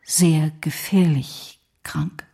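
A grave, gentle headmaster's wife in a radio play. Her low voice is very breathy and creaky, dark in tone. She speaks solemnly and hesitantly, often half-whispering. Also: fairly even intonation, very slow, heavily acted. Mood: grave, sorrowful. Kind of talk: literary radio play acting.

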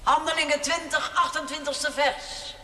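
An actor playing a sharp, scolding old aunt who mockingly tests someone. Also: high-pitched, steady tone, unhurried. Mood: gleefully taunting. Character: sharp, taunting old aunt quizzing on Bible verses. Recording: echoey reverberant hall, faint hiss.

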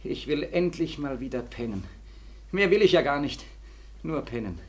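An actor playing a bitter, sleepless young war veteran in 1940s German radio drama style, feverish and sardonic, repeating himself between weary murmurs and anguished cries. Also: male voice, on the high side, animated, normal speed, a little mellow. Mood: weary, pleading.

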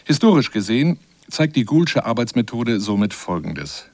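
A man reading a scripted radio essay in a measured, articulate and explanatory manner. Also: mid-range voice, big pitch swings, a little mellow, no room sound. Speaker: radio feature narrator. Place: radio studio.

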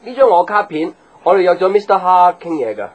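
An actor playing an easygoing, chatty young man in a radio drama, casual and expressive. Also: sits high, a little fast, muffled recording. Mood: casual, polite. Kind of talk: Cantonese radio drama dialogue. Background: background music.

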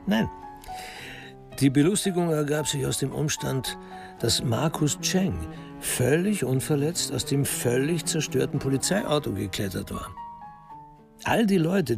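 A man narrating a scripted radio crime drama in a wry, measured storytelling manner. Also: mid-range voice, creaky.

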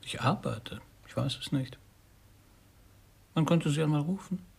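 Husky, anxious recluse. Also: male voice, on the high side, unhurried, a little mellow, heavily acted. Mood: stubborn, flat. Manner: terse, pedantic short sentences. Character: anxious reclusive husband afraid of crowds and insects. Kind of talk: 1990s German radio play acting.

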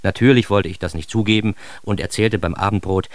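A man's voice: fairly low pitch, steady tone, a little fast, no echo, lightly acted. An actor playing a grown son narrating his embarrassed adolescence in a radio drama, with an ironic, mock-formal, theatrically indignant delivery. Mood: ironic, self-mocking.

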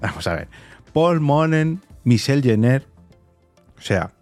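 A man's voice: medium pitch, big pitch swings, a little slow, darkish tone, soft background music. A man with a Castilian Spanish accent hosting a casual interview, effusive and enthusiastic. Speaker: podcast host, interviewer.

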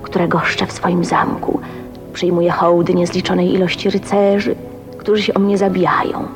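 A woman's voice: medium pitch, lightly acted. An actress playing a shy, wistful woman of twenty-nine in love, speaking intimately and hesitantly in a radio drama. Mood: dreamy, wistful. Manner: dreamy, emotional, hesitant phrasing with breathy whispers. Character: shy, dreamy 29-year-old spinster, wistful and newly in love. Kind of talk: radio drama acting, intimate monologue and dialogue. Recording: faint noise, 1980s radio drama.

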